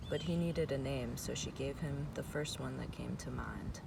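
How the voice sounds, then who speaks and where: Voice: medium pitch, moderate pace, vocal fry. A reader telling a folktale aloud in storybook narration. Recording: noisy, distant microphone.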